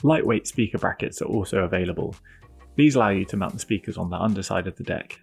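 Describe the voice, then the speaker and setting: Guy doing a product video voiceover, husky and a bit low, faint music under it. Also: quick pace. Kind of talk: scripted product narration.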